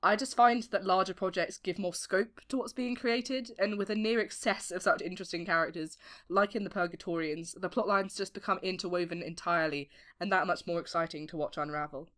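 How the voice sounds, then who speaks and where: A woman's voice: fairly low pitch, fairly quick, hardly any pauses, fairly bright tone. A British woman in her late teens giving articulate, formally worded interview answers as a voice actor. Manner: articulate, formal wordy phrasing.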